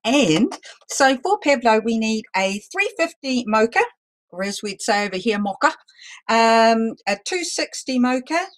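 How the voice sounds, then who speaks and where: A woman teaching a live balloon-twisting lesson, talking loudly and chattily with some self-deprecating humor. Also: on the high side, expressive, unhurried. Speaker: balloon artist teaching a workshop. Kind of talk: live online demonstration lesson.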